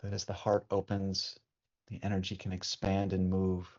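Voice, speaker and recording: A man with a fairly low voice guides a meditation in a soothing, unhurried manner. He leaves long pauses between phrases, like a teacher leading breathing practice. The recording is somewhat dull and lo-fi. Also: completely flat delivery. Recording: dull, muffled lo-fi sound.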